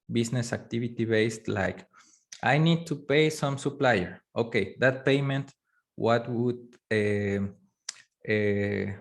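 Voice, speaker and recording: A man whose first language is Spanish, teaching a live online course, talking in a halting way with many fillers and restarts. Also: medium pitch. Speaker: course instructor. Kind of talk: live online class lecture.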